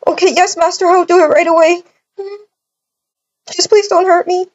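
A woman's voice: very high voice, little pitch movement. A woman doing a panicked, pleading captive girl. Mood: terrified. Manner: panicked, pleading, fast and loud. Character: terrified young woman held captive. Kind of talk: amateur voiced roleplay. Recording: dull lo-fi recording.

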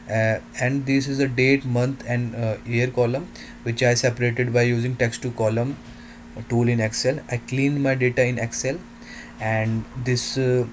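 A man narrating a software demo in halting, non-native English phrasing. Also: mid-range voice, slight background noise.

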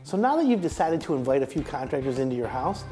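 A man's voice: big pitch swings, fast, music in the background. Upbeat man demoing home products. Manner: upbeat, pitching and pointing at samples. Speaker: home improvement expert guest. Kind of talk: product demo on a TV talk show. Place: TV studio set.

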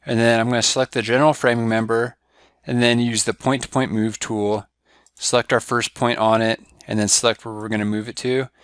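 A man giving a step-by-step software tutorial over a screen recording, walking through each click in a steady, instructive way. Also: medium pitch, a little fast, smooth, no room sound, clean studio sound. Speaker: software trainer.

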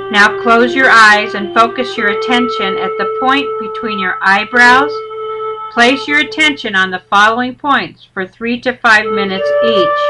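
A woman teacher narrating a guided meditation with measured, instructional delivery. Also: mid-range voice, poor audio. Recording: loud music under the voice. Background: loud instrumental music.

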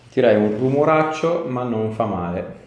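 A man's voice: mid-range voice, animated, normal speed, muffled recording. A male chiropractor chatting casually with a patient and giving reassuring, coaxing instructions during treatment. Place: small indoor clinic treatment room. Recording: echoey small treatment room.

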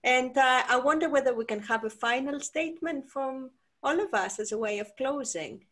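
A woman whose first language is Greek, moderating an online panel in a reflective, halting way over a video call. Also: high-pitched, fairly bright tone. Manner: reflective, halting with many fillers.

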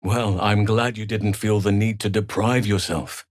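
An actor playing a big, calm, earnest male elf druid in a fantasy video game, speaking in a measured, gentle, solemn way. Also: on the low side, no echo, clean studio sound, close to an everyday voice.

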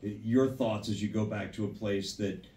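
A man's voice: on the low side, fairly even intonation, moderate pace, dark tone. A man, a sports reporter, asking long, rambling questions at a postgame press conference. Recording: roomy, distant, slightly noisy press room.

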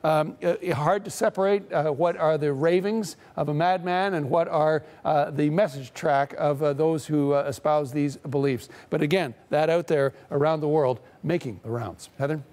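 A middle-aged correspondent talks through a live news segment in a TV studio. His voice sits a touch higher than most men's, and he speaks in broken-up phrases with frequent "uh" pauses. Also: a little mellow. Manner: halting, many "uh" pauses.

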